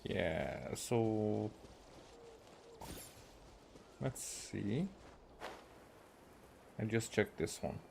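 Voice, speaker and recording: A man from Hungary doing casual gameplay commentary, halting and thinking aloud to himself. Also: fairly low pitch, big pitch swings, gravelly, darkish tone, a little hiss or hum. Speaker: video game streamer.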